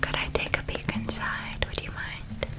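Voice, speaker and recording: A woman voicing a gentle, doting witch parent in a soft, breathy whispered ASMR roleplay, tender and softly enunciated. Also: moderate pace, music in the background, close to an everyday voice. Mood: gently admiring. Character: gentle doting witch parent helping a child pack for school.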